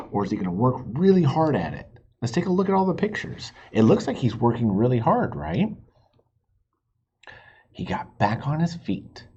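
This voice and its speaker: A man reads a children's story aloud with big swings in pitch and an unhurried pace. He speaks simply to young listeners and puts questions to them. The recording is a little dull. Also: mellow, breathy edge. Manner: asks the listeners questions, explains words simply. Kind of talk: expressive read-aloud with asides to young listeners.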